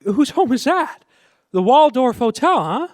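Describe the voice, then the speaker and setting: An earnest young newlywed in an old-time radio drama. His voice rides very high for a man, and he halts and stammers as he makes his case, flustered and sincere. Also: very animated, dry recording, studio quality, heavily acted. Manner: halting, stammering, pleading. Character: earnest, flustered young newlywed man running a small-town loan business.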